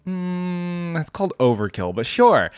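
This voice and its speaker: A woman's voice: lowish voice, sing-song, unhurried, darkish tone, clean tone, dry recording, faint music behind. A woman hosting a reaction video, giving casual, chatty commentary and musing as she goes.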